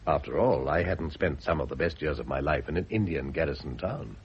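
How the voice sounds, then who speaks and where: A man acting in a radio drama as a wealthy middle-aged gentleman art lover, urbane, flippant and a little pompous, with a teasing way of talking. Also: rumbling low, animated, brisk. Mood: wry, teasing. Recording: noisy, muffled vintage radio recording.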